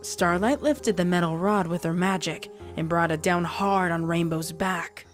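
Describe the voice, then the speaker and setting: A woman with a fairly low voice narrates a scripted dramatic story. Music plays clearly behind her as she reads. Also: measured pace.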